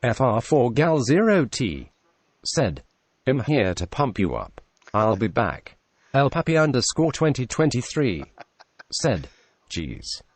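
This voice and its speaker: A man's voice: mid-range voice, slow, dry recording. A synthetic male text-to-speech voice reading out usernames and chat messages one after another. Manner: reads usernames then messages flatly.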